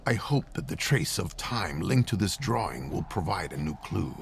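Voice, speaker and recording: A man voicing a pensive sage sorcerer who muses deliberately aloud as he investigates a mystery in a video game. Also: low voice, big pitch swings, creaky, a little hiss or hum, full character voice. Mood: intrigued. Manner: musing, deliberate.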